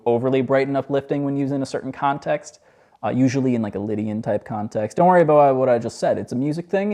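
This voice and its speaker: Laid-back joking man teaching music. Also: darkish tone. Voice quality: low voice. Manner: loose, joking, self-deprecating. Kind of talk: casual music lesson.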